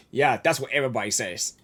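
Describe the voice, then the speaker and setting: A woman's voice: extremely low pitch, brisk, fairly bright tone, breathy edge, soft background music. A woman game streamer giving casual, informal reaction commentary and talking back to the characters.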